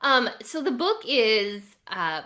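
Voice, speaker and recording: A woman's voice: fairly high pitch, big pitch swings, slow pace, sharp tone. A woman with a Californian American accent answering conversationally as an interview guest, earnest and reflective. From California, United States. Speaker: educator and author, podcast guest.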